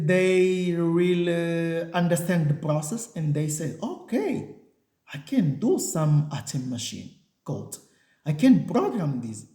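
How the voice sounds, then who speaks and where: Slow, halting man. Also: high voice, mellow. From Portuguese-speaking country. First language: Portuguese. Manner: halting non-native English, many fillers and restarts. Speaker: software engineer and channel host. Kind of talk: casual talking-head vlog. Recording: echoey room.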